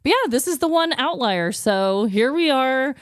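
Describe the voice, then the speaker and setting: Smooth, clear-voiced woman, casual podcaster. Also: sits high, lively intonation, moderate pace, no echo, clean studio sound. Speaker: podcast co-host.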